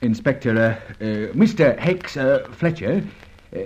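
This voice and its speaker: A muffled old radio recording carries a man acting a Cockney ex-burglar sidekick. His talk rambles and stumbles deferentially through homely slang, swinging up and down in pitch. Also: animated, measured pace, darkish tone, lightly acted. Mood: hesitant, eager. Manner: rambling, hesitant, colloquial storytelling.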